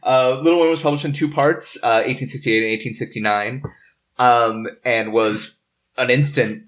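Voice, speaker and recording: A man hosting a casual podcast discussion, speaking informally and haltingly as he works through his notes. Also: mid-range voice, steady tone, fairly bright tone. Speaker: podcast host. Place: in-person recording around a table.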